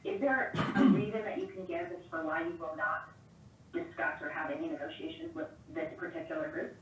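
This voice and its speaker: A woman's voice: deep voice, fairly quick. A woman speaking as a journalist, putting a question at a press conference. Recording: roomy, distant mic, faint noise.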